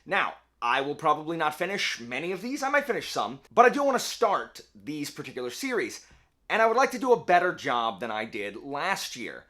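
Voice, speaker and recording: Lively, rambling male book vlogger. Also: a little high, a little fast. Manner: chatty, rambling, self-correcting. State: slightly hoarse. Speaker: book channel host. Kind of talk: casual book vlog.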